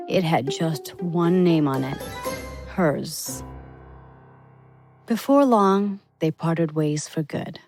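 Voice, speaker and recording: A woman's voice: on the low side, darkish tone, no room sound, studio quality. A woman reading a scripted biographical story as a podcast narrator for young listeners.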